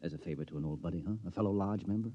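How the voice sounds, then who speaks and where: An actor playing a curious, chummy henpecked husband with a halting, fussy manner in a 1950s radio drama. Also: male voice, low voice. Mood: wheedling. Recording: dull old 1950s radio recording.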